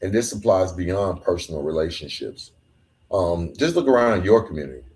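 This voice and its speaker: Earnest man with a low voice. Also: animated, moderate pace, a little mellow. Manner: earnest, emphatic, colloquial, stumbles over words. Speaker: podcast host. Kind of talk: solo motivational monologue.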